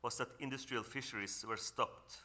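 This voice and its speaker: A man from northern Norway with a Norwegian accent gives an earnest, reflective talk on a panel, often pausing and restarting as he searches for English words. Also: medium pitch, steady tone, pushed and bright. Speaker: panel speaker. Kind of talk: reflective presentation at a symposium.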